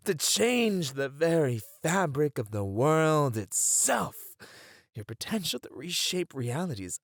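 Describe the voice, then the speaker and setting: A man playing a gloating, seductive supervillain in an ASMR roleplay, theatrical and grandiose, savoring words and often dropping to a breathy near-whisper. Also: mid-range voice, dry recording, clean studio sound, heavily acted. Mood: insistent, tempting. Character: gloating seductive male supervillain taunting a caged hero. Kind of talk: ASMR villain roleplay monologue.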